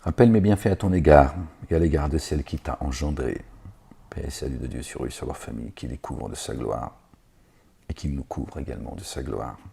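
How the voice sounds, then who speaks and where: A man's voice: very deep voice, fairly quick, creaky, mellow, slightly rough. A man reading scripture aloud and commenting on it in a digressive way, with sarcastic asides and hesitant fillers. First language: not Arabic. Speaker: religious lecturer reading scripture.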